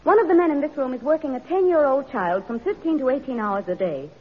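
High-voiced, impassioned woman reformer. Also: lively intonation, fast. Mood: impassioned, challenging. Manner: formal, emphatic oratory. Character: earnest, determined woman social reformer, idealistic and passionate. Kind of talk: vintage radio drama acting. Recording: dull old radio broadcast recording.

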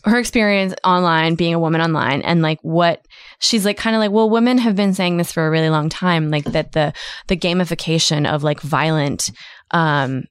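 A woman co-hosting a podcast, her voice smooth and rather low for a woman. She talks in a loose, chatty way, drifting off mid-sentence and picking the thread back up. The sound is close and dry. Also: normal speed, no room sound. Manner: chatty, laughing, trailing off mid-thought. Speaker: podcast co-host. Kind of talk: casual chat.

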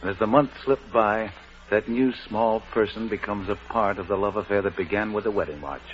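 A man announcing and narrating a 1940s radio play in a measured, theatrical manner. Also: fairly low pitch. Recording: dull vintage radio, music behind.

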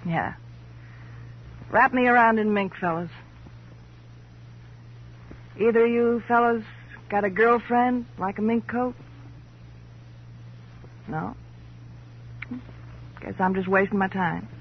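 An actress playing a brazen, sullen American con artist in a 1950s radio crime drama, with a sardonic, slangy, hard-boiled delivery. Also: female voice, medium pitch, slow pace, long pauses, breathy edge. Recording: muffled old radio broadcast.

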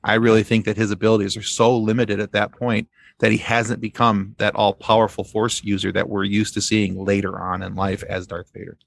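A man speaking as a podcast guest in a casual fan discussion, explaining things in a halting, rambling way. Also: fairly low pitch, flat delivery, gravelly.

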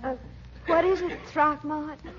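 An actress playing a coy, flirtatious sweetheart in 1940s radio comedy, cooing dreamily. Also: female voice, high voice, steady tone, measured pace. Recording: muffled old radio recording, music behind.

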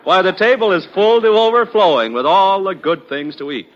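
A man's voice: sits high, normal speed, muffled recording. An American man announcing in 1940s radio advertising style, with hearty, folksy salesmanship, on a vintage recording.

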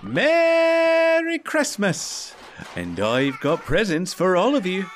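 A man's voice: high voice, huge pitch swings, slow pace, noisy background, exaggerated voice. An actor playing an affectionate, jovial family father in an audio drama. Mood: jolly.

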